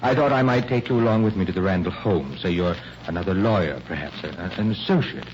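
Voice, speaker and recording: An actor playing a concerned, paternal family lawyer in a 1940s radio drama, earnest, emphatic and formal. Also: male voice, sits low, quick pace, a little mellow, close to an everyday voice. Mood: worried, confiding. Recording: muffled vintage radio recording, faint hiss.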